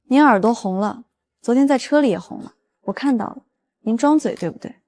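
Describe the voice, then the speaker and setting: Actress as smitten young office woman in a drama, high voice, earnest, kinda flustered, gently probing. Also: a little fast, dry recording, natural voice. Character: smitten, flustered young female law-firm worker. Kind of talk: acted drama dialogue and inner monologue.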